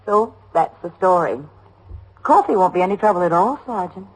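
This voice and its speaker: An actress playing a chatty, homely middle-aged American widow in a radio drama, rambling on eagerly to please. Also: female voice, fairly low pitch, animated, moderate pace, poor audio. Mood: proud, chatty. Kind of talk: old-time American radio drama acting.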